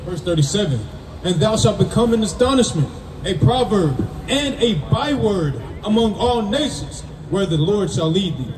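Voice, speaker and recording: A man's voice: sits high, very animated, normal speed. A man reading Bible verses aloud in a declamatory way. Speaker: scripture reader. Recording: echoey, distant mic with crowd noise.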